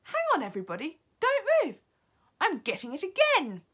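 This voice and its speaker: A woman voicing a tall, excitable witch with a shrill, shrieking storybook voice. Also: moderate pace, heavily acted. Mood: excited, insistent.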